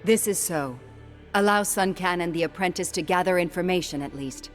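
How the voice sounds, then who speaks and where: Woman as hunters' commander, lowish voice, composed, authoritative, faint music behind. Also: normal speed, close to an everyday voice. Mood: calm, persuasive. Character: composed, authoritative female grand tracker. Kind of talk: dramatic fantasy game acting.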